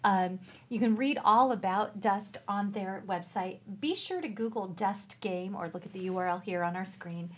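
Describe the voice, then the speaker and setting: A woman's voice: medium pitch, moderate pace, few pauses, husky. A woman co-hosting an educational show, explaining a resource in a casual presenting style.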